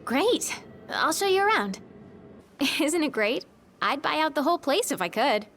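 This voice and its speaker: Upbeat teen girl from a video game, high lively voice, kinda teasing. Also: a little slow, slight background noise, exaggerated voice. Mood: playful, upbeat. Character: cheerful teenage girl, friendly and teasing. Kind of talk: video game cutscene acting.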